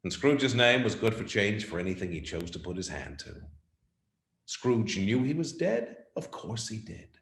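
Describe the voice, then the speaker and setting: A man's voice: fairly low pitch, very animated, normal speed, a bit bright, echoey room. A man narrating a scripted radio play as a theatrical, emphatic storyteller.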